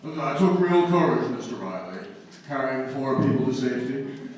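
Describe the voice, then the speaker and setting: An actor playing a courteous, genteel dinner guest, polite and formal, in a live 1940s-style radio comedy. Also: male voice, medium pitch, sing-song, moderate pace, a little mellow, natural voice. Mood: admiring, polite. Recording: echoey hall, distant mic, noise.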